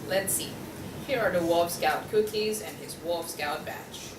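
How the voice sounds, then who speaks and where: A young woman in a student table read playing a reasonable, persistent juror pig, explaining and persuading in a measured manner. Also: lowish voice, close to an everyday voice. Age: young adult. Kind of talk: student table read of a comic courtroom play. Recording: distant, echoey room with clear background noise.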